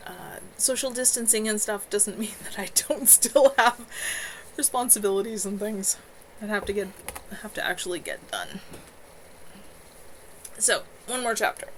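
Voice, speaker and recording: A woman, an author, reading her own novel aloud on a live stream from home, in a gentle, unhurried way. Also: mid-range voice, a little hiss or hum, rough recording.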